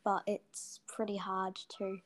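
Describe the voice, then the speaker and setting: A woman's voice: mid-range voice, monotone, slow. A young woman guest on a casual gaming interview who talks hesitantly, with many ums and trailing sentences, recorded on a cheap built-in mic. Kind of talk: casual chat.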